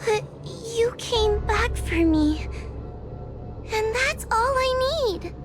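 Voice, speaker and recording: A tiny, very high girlish fox character falters slowly through her words. She is timid and sweet, with a trembling, plaintive game-acting delivery over clear music. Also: animated, exaggerated voice. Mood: plaintive, tender. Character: timid, tearful young fox girl, sweet and apologetic. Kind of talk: animated video game voice acting.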